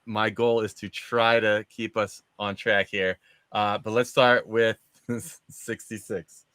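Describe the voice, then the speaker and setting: A man's voice: on the low side, flat delivery, takes it slowly, fairly bright tone, clean tone, no echo. A man hosting a casual panel discussion about movies, steering the conversation. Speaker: host of a movie discussion show.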